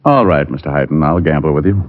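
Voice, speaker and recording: An actor playing a wisecracking hard-boiled private detective in old radio style, dry and sardonic, on a muffled recording. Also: male voice, rumbling low, expressive, fast. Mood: businesslike. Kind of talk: 1940s-50s radio detective acting.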